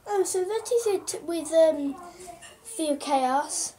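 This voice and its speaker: A young boy playing a female TV newsreader, croaky and hesitant, full of ums and restarts, recorded on a close webcam in a small room. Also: very high-pitched, lively intonation, takes it slowly, dark tone, slight background noise, voice pushed up, exaggerated voice. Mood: breezy. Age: child. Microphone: webcam at close range.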